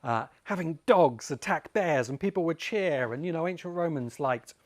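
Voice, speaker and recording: British man in his forties, explaining deliberately. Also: a little high, expressive. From Great Britain. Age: 40s. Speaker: host and presenter. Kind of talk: informal explanatory video essay.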